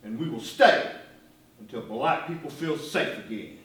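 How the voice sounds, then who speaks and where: A man's voice: medium pitch, animated, unhurried, fairly bright tone, slight background noise. A man playing a fiery, mocking militant civil rights leader in a stage play, speaking in declamatory rhetoric. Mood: defiant. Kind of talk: theatrical one-act stage play acting. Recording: echoey stage recording from far off.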